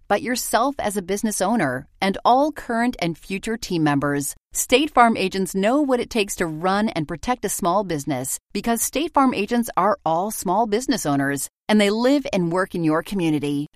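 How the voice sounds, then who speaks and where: A woman reading a scripted sponsor ad in an even, friendly sales tone. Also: medium pitch, no echo, studio quality. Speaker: ad reader.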